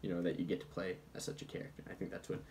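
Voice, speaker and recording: Male commentator, low-ish voice, loose comedic video-essay delivery with bantering, defensive asides. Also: monotone, racing, a little mellow, slight background noise. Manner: informal, mock-defensive asides, conversational. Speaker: film commentator presenting points.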